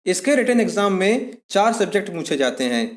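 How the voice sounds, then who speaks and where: Guy with a pretty high voice teaching exam prep stuff, goes step by step. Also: very animated, moderate pace. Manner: methodical, restates points in simpler words. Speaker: exam-preparation instructor. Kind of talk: explanatory lesson narration.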